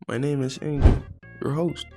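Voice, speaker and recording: A man's voice: mid-range voice, normal speed, music in the background. A teenage boy from Mexico talking casually to camera as the host of his own vlog, chatty and laid-back, with frequent fillers and repeated words. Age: teens. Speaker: vlogger host.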